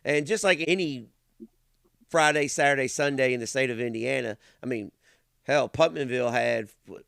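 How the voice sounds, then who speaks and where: A man with a Kentucky accent chatting casually as a podcast host in a folksy, halting manner. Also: mid-range voice, vocal fry, a bit bright, clear, resonant tone, no room sound, studio quality. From Kentucky, United States. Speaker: podcast host, race announcer. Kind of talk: casual chat.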